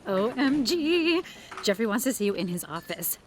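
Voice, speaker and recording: Very high, giddy woman coworker, noisy background. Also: sing-song, normal speed. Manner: giddy, speaks in internet slang. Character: gushing, gossipy young female office coworker. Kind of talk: audio drama acting. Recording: clear background noise.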